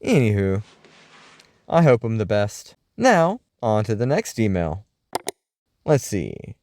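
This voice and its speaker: A man playing a wry, uneasy host of a late-night paranormal radio show, speaking in a scripted, folksy, theatrical way with deliberate pauses. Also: lowish voice, sing-song, a little mellow, dry recording, full character voice. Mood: wry, amiable.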